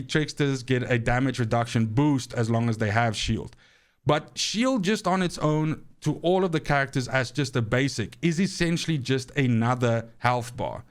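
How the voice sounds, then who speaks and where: South african accented guy doing a gaming vlog, smooth clear voice, kinda rambly, repeats himself a lot. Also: mid-range voice. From Namibia. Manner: rambling, repeats words when restarting; grateful, earnest. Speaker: gaming channel host. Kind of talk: casual talk to camera.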